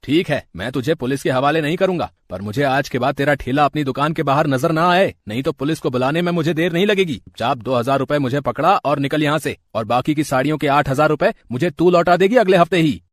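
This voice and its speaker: A man voicing a stern, suspicious shop owner in an animated moral story, commanding and quick to scold. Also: a little high, no room sound, studio quality, natural voice. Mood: angry, accusing.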